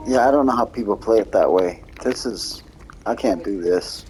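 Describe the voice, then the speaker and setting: Mellow low-voiced man streaming a game. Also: moderate pace, faint music behind, low-quality recording. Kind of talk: casual chat over gameplay.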